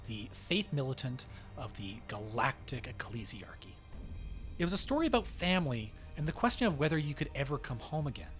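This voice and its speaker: A man hosting a podcast with scripted promotional narration in dramatic, measured phrases. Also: medium pitch, a bit bright. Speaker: podcast host.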